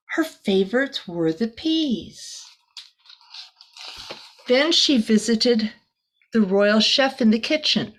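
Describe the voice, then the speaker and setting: A woman reading a picture book aloud to children like a teacher, expressively, with soft chatty asides. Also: mid-range voice, poor audio.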